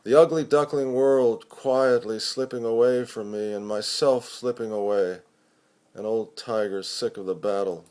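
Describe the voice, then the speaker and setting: Slow, deliberate man reading poetry. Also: lowish voice, steady tone, a little mellow. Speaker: poetry reader. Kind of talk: expressive poetry recitation.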